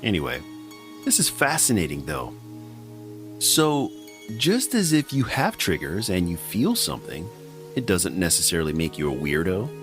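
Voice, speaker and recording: A man's voice: low-pitched, wildly swooping pitch, normal speed, over music. A middle-aged man hosting a podcast, talking in a wry, chatty, self-mocking way. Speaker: podcast host. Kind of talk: casual scripted podcast narration.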